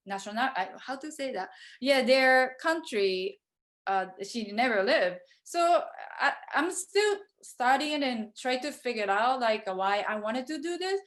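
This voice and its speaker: Japanese-born woman artist on a video call, hesitant and full of fillers, a bit high-pitched. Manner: hesitant, many fillers and restarts. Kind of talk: virtual studio visit talk.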